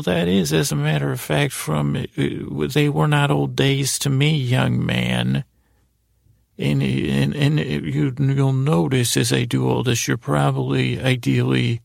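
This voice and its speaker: A middle-aged man with a gravelly, creaky voice hosting a bedtime podcast, meandering and hesitant in a goofy way, often trailing off and restarting. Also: mid-range voice, no room sound, studio quality. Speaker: podcast host. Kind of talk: rambling bedtime sleep podcast monologue.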